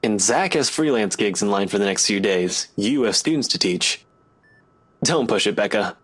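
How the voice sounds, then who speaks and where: An actor playing a gruff, determined police detective in a video game, blunt, guarded and self-assured. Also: male voice, lowish voice, lively intonation, normal speed, a bit bright. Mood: blunt, firm.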